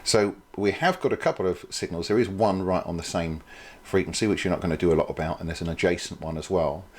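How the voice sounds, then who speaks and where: A man's voice: low-pitched, fast talker. A man presenting an informal product demonstration, explaining things in a hesitant, thinking-aloud way.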